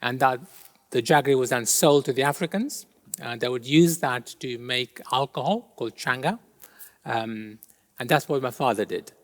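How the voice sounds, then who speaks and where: Middle-aged man, raised in Kenya, Gujarati first language, pausing interview guest, mid pitch, echoing church hall. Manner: thoughtful, with many ums and pauses. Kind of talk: interview answers. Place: large church. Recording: long reverb of a large church hall.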